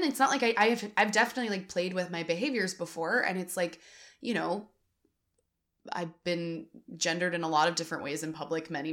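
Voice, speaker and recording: A young adult woman co-hosting a casual podcast chat, talking candidly with lots of fillers. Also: on the low side. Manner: candid, animated, many fillers. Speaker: podcast co-host.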